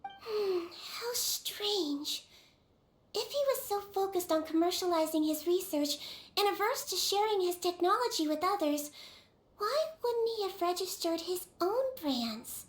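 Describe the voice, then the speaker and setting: A woman voicing a small, childlike head nurse in a video game, gentle, polite and earnest in a measured way. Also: very high-pitched, lively intonation, echoey room. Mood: thoughtful.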